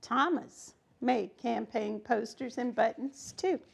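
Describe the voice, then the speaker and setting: Lady doing storytime for kids, high voice w some creak, slow and dramatic. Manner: expressive, emphatic, dramatic pauses. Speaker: storyteller reading a children's book aloud. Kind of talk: animated story reading with asides to children.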